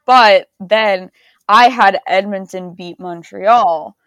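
A woman's voice: mid-range voice, slow, fairly bright tone, no echo. A young woman co-hosting a casual sports podcast, laid-back and wry, and losing her voice. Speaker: podcast co-host.

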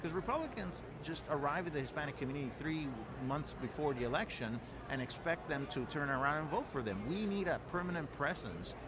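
A man's voice: fairly high pitch, big pitch swings, a little fast, few pauses, background noise. A man from Puerto Rico, a guest being interviewed, speaking earnestly with frequent fillers and repeated words. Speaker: guest, political director. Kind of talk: conversational interview. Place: outdoor event.

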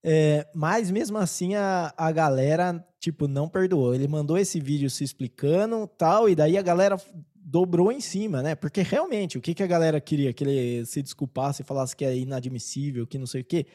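A man with a Brazilian Portuguese accent chatting as a podcast co-host in an informal, slangy, somewhat halting way. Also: on the high side, dark tone. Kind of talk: casual chat.

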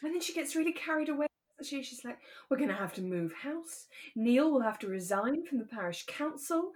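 A woman's voice: high voice, expressive, fairly quick. A woman co-hosting a casual podcast about a radio drama, retelling scenes in a giggly way and mimicking the quotes. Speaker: podcast co-host.

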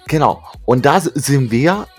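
Ein Mann in seinen Zwanzigern, Co-Moderator eines Podcasts, plaudert locker und scherzt viel. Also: male voice, mid-range voice, expressive, normal speed, soft background music. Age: 20s. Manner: laughs often, joking. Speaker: podcast co-host. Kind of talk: casual chat.

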